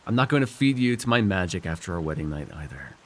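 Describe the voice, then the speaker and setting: A man voicing a scheming, weary villain lord in an immersive fantasy ASMR roleplay, wry and persuasive, speaking theatrically with halting, musing pauses and sighs. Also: low-pitched, sing-song, slight background noise. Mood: exasperated, bargaining. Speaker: voice actor.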